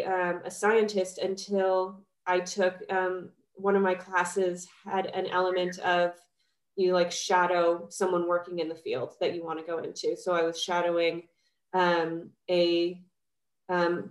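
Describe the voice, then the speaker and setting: Nasal young woman with a cold, echoey. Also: lowish voice. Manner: chatty, enthusiastic, many fillers. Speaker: skincare founder, guest. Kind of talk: casual podcast interview.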